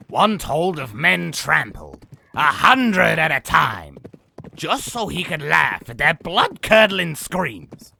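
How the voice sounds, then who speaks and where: A man playing a sinister, boastful old demon in a comic dub, telling tales in a raspy, gravelly, theatrical voice. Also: on the high side, pushed and bright, slight background noise, full character voice. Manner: theatrical, grandiose storytelling. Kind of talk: comic dub villain acting.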